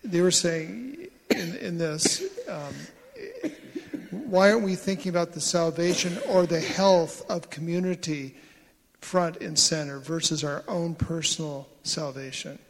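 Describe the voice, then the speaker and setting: A man's voice: fairly high pitch, unhurried, long reverb tail. A man leading a church group discussion, speaking in a reflective, encouraging way.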